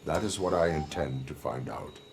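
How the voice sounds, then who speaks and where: Robot cop for a game, super low croaky voice, flat and stoic, terse formal lines. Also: male voice, normal speed, over music, full character voice. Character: stoic cyborg policeman, part man part machine. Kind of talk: video game cutscene acting.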